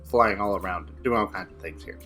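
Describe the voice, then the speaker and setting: A young man with a low, bright and forceful voice talks rapidly through his build, narrating each step as he plays. Faint music sits quietly under his casual commentary. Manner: rapid thinking aloud while building. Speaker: co-host playing a modded game.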